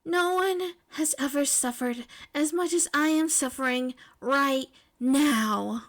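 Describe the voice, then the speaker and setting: An excitable teenage girl inventor from an audio story, performed by a woman. She is high-voiced, stubborn and melodramatic, ready to argue her case as if everything were at stake. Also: slow, full character voice. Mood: dramatic protest. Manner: melodramatic, argumentative.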